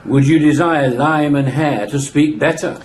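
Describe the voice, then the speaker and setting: A man's voice: on the high side, a little slow, slight background noise, natural voice. A man playing a wry, indulgent noble onlooker in an amateur Shakespeare radio play. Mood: wry commenting.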